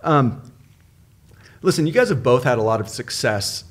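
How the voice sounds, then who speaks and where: A man hosting a podcast interview in a relaxed, upbeat, chatty way, joking with his guests. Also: mid-range voice. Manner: upbeat, chatty, quick to joke. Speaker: podcast host. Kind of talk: casual interview chat.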